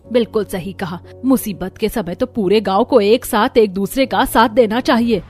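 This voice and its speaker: A woman's voice: medium pitch, normal speed, a little mellow, faint music behind, lightly acted. A woman voicing earnest, fretful young village daughters-in-law in a cartoon story, with dramatic delivery. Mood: indignant. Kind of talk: Hindi cartoon story dialogue.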